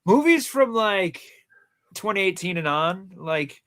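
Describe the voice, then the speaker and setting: Higher-voiced Rhode Island guy, joking. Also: lively intonation, slow, a bit bright. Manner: joking, teasing. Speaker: co-host of a movie countdown show. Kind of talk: casual film chat.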